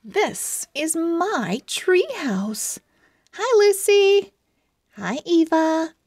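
Woman as a very slow, expressive young girl owl. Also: extremely high pitch, no room sound, pitched above the performer's own voice, heavily acted. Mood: excited. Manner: slow, exaggerated storybook expressiveness. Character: cheerful, enthusiastic young girl owl writing her diary. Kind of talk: children's storybook read-aloud.

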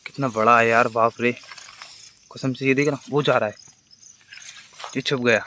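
A man's voice: medium pitch, fairly even intonation, normal speed, sharp tone. A man, vlogging casually on a phone outdoors. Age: 20s. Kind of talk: casual chat to camera. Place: outdoors in an open farm field. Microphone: phone at arm's length. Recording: noisy handheld phone outdoor recording.

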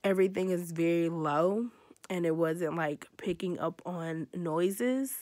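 Stuffy, hoarse young American woman vlogging. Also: on the low side, measured pace, a little mellow. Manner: chatty, rambling, frustrated and tired. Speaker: beauty vlogger. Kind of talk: casual vlog chat. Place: bedroom, indoors. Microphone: phone held close.

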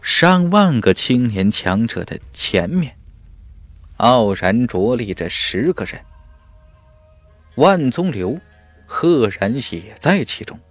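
A man's voice: medium pitch, big pitch swings, slow pace, pauses often, dry recording, faint music behind. A man reading a fantasy audiobook as narrator in a dramatic storytelling style. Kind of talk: dramatic scripted narration of a fantasy web novel.

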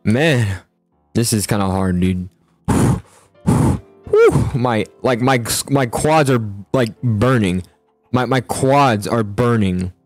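A young man improvising a school roleplay in a video game, talking in an excitable, slangy way. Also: mid-range voice, slow, dry recording, faint music behind. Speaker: host and player in a school roleplay. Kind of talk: casual improvised gaming roleplay chat.

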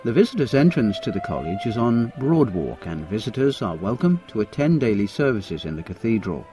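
A man reads a scripted walking tour in a measured, informative voice. His pitch moves freely and expressively through each sentence, and soft music plays behind him. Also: sing-song. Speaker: tour guide narrator. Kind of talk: scripted audio tour narration.

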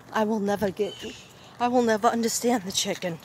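A woman of middle pitch chats into her phone while walking outside, wandering from thought to thought and restarting her sentences. Faint street noise sits behind her voice. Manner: rambling, restarts often, talks to her phone. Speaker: vlogger. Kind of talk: walking vlog, casual chat. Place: outdoors on a neighborhood street. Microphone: handheld phone.

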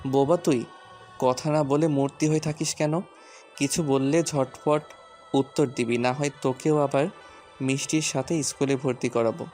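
A man playing a stern, gruff husband in an audio story, curt and commanding as he teases and scolds his wife. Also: medium pitch, fairly even intonation, normal speed, darkish tone, soft background music, lightly acted. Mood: stern scolding. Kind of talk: audio story character acting.

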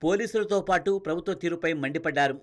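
A man reading a scripted television news voice-over in a brisk, dramatic delivery. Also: on the high side. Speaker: news narrator.

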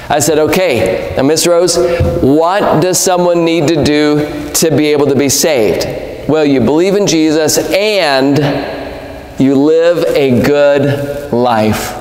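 A man's voice: on the high side, darkish tone. Emphatic male preacher in echoey church. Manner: emphatic, rising on key words, gestures broadly. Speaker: evangelist preacher. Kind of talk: sermon with storytelling. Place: church sanctuary, at a pulpit. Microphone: pulpit mic. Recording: echoey hall reverb.

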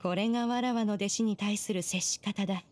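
A woman voicing a haughty, stern immortal sage in a video game, speaking in a measured, archaic, imperious way. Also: mid-range voice, fairly even intonation, a little mellow, lightly acted. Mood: stern, composed. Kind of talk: Japanese video game voice acting.

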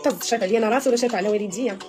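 A woman's voice: fairly high pitch, racing, faint music behind. A woman in her thirties with a Moroccan Arabic accent, chatting animatedly to her followers on a phone live stream in a small room. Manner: animated, emphatic, gestures a lot while talking. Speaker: social media personality. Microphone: phone at arm's length.

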